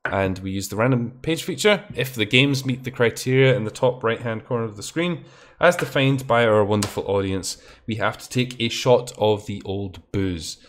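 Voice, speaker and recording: Young Scottish host, casual and rambling, swears freely, restarts sentences. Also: male voice, fairly low pitch, normal speed. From Scotland. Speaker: stream host. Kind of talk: casual chat on a live stream.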